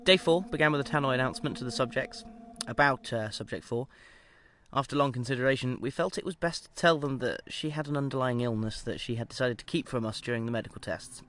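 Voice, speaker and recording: A man's voice: medium pitch, quick pace, a bit bright, natural voice. A man playing a research doctor in an audio drama, dictating an experiment log in a clinical yet conversational, slightly hesitant way. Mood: matter-of-fact, clinical. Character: male research doctor calmly logging a sinister experiment. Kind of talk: audio drama diary monologue. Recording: clear background noise and ambience.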